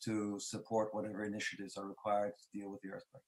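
A man's voice: on the low side, monotone. Older man explaining finance on a call. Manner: deliberate, repeats words while building a point. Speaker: chair of a development finance board, interviewee. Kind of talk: measured expert explanation. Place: home study. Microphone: video call.

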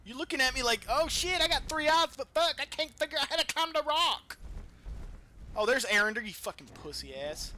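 A man's voice: very high-pitched, brisk, slight background noise. A man giving casual, profane gameplay commentary as a let's play host. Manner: profane, animated trash-talk.

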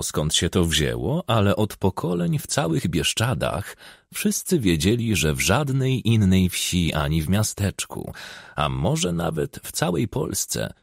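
A man reading an audiobook in an expressive, scripted storytelling style. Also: fairly low pitch, creaky, slightly rough, dry recording, clean studio sound. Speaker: audiobook narrator.

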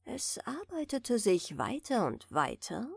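A woman narrator softly reading a bedtime story in a gentle, soothing manner. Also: a little high, huge pitch swings, creaky, breathy edge, no echo, clean studio sound. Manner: gentle, soothing, unhurried delivery. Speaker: audiobook narrator.

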